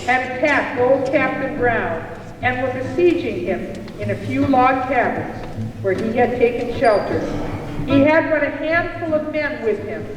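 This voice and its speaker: A narrator telling a scripted story for a puppet show in a measured, theatrical way. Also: sits high, expressive, noisy background. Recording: echoey hall, picked up from far off. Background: music.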